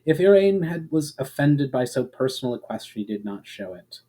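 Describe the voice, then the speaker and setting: A man's voice: mid-range voice, sing-song, normal speed, warm tone, breathy edge. A man reading a fantasy story aloud as a dramatic, scripted narration. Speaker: storyteller, reader.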